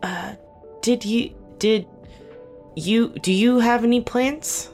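An actress playing a middle-aged government official in an audio drama, speaking candidly with wry, hesitant phrasing. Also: female voice, on the high side, takes it slowly, a little mellow. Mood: awkward, hesitant. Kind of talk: naturalistic audio drama dialogue. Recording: music underneath. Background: background music.

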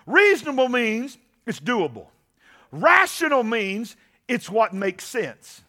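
High, bright-voiced preaching man. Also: very animated, slow pace. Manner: impassioned preaching, shouting at peaks. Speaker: pastor. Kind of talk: sermon.

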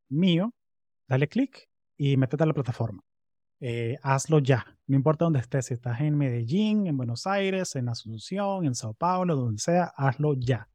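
A man's voice: on the high side, darkish tone, dry recording. A young man, hosting a podcast interview in a loose, friendly manner with local slang. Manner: friendly and informal, uses Venezuelan slang. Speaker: podcast host. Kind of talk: casual interview chat.

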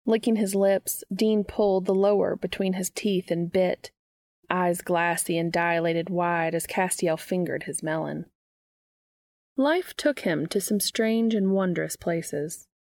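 Low-pitched, creaky-voiced woman reading a story. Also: unhurried, a little mellow, no room sound. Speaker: fan fiction reader. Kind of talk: audiobook-style story narration.

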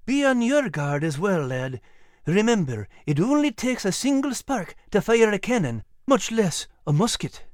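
A man's voice: high-pitched, sing-song, moderate pace, no room sound, clean studio sound, voice pushed up. A man voicing a kindly, grave old Scottish sergeant in a storybook, with folksy old-fashioned soldierly phrasing. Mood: grave warning.

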